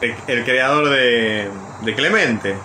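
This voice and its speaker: A man's voice: mid-range voice, takes it slowly, noisy background. A man with a Buenos Aires Argentine accent, hosting an informal conversational interview. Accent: Buenos Aires Argentine Spanish. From Buenos Aires province, Argentina. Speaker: interviewer and program host.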